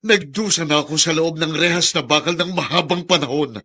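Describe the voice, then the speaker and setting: A man's voice: on the high side, bright, forceful tone, breathy edge. Sorrowful, pleading ex-convict father. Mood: anguished pleading. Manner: halting, pleading, emotional delivery. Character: sorrowful, self-sacrificing middle-aged ex-convict father. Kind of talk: Filipino radio drama acting.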